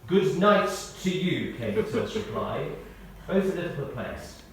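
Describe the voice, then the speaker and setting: A man's voice: a little high, very animated, a little slow. Man in an echoey hall, giving a tribute. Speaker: tribute speaker. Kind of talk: read-out memorial tribute with anecdotes. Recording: echoey hall, distant, faint noise.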